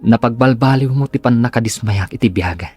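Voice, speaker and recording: A man's voice: mid-range voice, brisk, a little mellow, breathy edge, natural voice. An actor with a northern Philippine accent playing a moody, weary male patient with a subdued, dry manner in a radio drama. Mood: dryly joking. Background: music.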